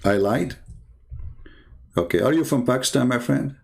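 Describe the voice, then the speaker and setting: A man's voice: medium pitch, expressive, normal speed, gravelly, darkish tone, a little hiss or hum. A man with an Arabic accent and Arabic as his first language, hosting a live stream and reading texts aloud with sarcastic, needling commentary. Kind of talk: mocking commentary while reading religious texts.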